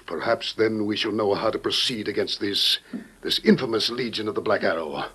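Husky, low, grave president. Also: male voice, fairly bright tone. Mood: solemn. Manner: solemn, weighty, deliberate oratory. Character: grave, dignified American president briefing a secret agent. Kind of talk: old-time radio drama acting.

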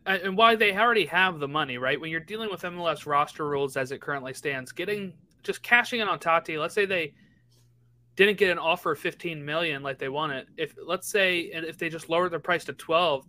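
A man's voice: on the high side, fairly quick, bright, forceful tone. A man co-hosting a podcast, talking soccer in a casual, conversational way and often checking in with "right?". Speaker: podcast co-host.

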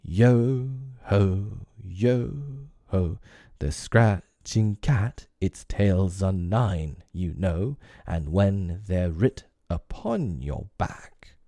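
A man's voice: sits low, big pitch swings, slow, creaky, few pauses, a little mellow, no echo, exaggerated voice. A man voicing a villainous pirate captain in a storybook, theatrical and drawn out, with purring, mock-polite menace. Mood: taunting sing-song.

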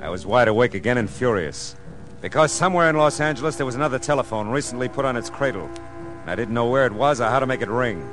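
An actor playing a weary, wry, hard-boiled private detective in a 1940s-style radio drama. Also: male voice, mid-range voice, expressive, fast talker, music in the background, natural voice. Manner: wry, dry, world-weary. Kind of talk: 1940s radio drama acting, first-person narration. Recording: noisy vintage radio drama recording.

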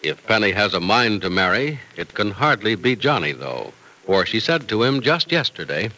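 Animated old-time radio announcer, a man. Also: on the low side, normal speed, poor audio.

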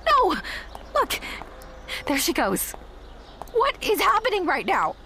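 Very high-pitched, wounded young woman. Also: huge pitch swings, takes it slowly, bright, forceful tone, slight background noise, exaggerated voice. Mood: upset, disbelieving. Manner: emotional, wounded. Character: hurt, disbelieving young woman betrayed by her boyfriend. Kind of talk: audio drama acting.

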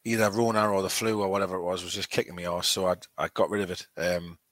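A man's voice: deep voice, little pitch movement, quick pace, a bit bright, dry recording, clean studio sound. Blunt Geordie man, frank podcast chat. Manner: blunt, frank, coarse-spoken. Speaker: podcast co-host. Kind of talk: casual chat.

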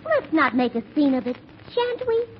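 An actress playing a melodramatic, faithless wife in a vintage radio comedy sketch. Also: female voice, high voice, sing-song, normal speed. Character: Mary, melodramatic faithless wife and nurse in a spoof. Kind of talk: 1940s radio comedy acting. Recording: dull old radio, loud noise and audience.

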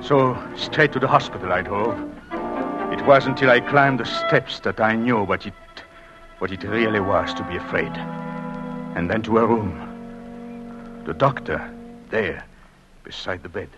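An actor playing an anguished, devoted immigrant husband in an old radio drama, speaking in a halting, brooding, intense way. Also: male voice, fairly low pitch, muffled recording, music in the background, lightly acted. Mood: brooding, fearful. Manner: halting, brooding, intense delivery with repeated words. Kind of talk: old dramatic radio-play acting with first-person narration.

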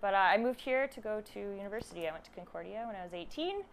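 A woman's voice: mid-range voice, lively intonation, fast, slight background noise, low-quality recording. A woman of thirty with a Canadian accent, chatting informally and giggly as an interview guest. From Ontario, Canada. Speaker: guest, artist and art teacher. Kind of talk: casual interview chat.

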